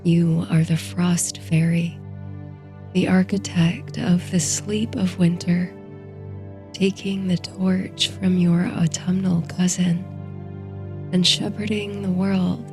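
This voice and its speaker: Soft low woman reading a sleep story, hushed n breathy, mellow, faint music under it. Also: flat delivery, slow pace. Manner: soothing, hushed, breathy delivery. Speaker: host and narrator of a sleep story series. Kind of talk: soft scripted bedtime story and guided meditation narration.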